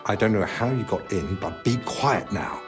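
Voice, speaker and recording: An actor playing a learned, stern but caring medieval physician in a video game, clipped and authoritative. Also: male voice, lowish voice, lively intonation, normal speed, music in the background, natural voice. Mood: stern, irritated. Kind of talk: video game cutscene acting.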